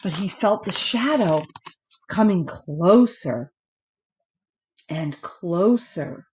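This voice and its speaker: Slow, animated woman storyteller. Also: lowish voice, dull audio. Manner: dramatic pauses and drawn-out words. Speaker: storybook reader. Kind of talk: expressive children's story narration.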